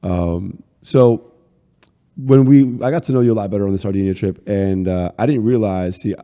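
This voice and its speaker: A male podcast host chats casually and keeps asking quick follow-up questions. His voice is low and very dark and mellow. A long hall reverb surrounds it. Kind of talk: casual interview conversation.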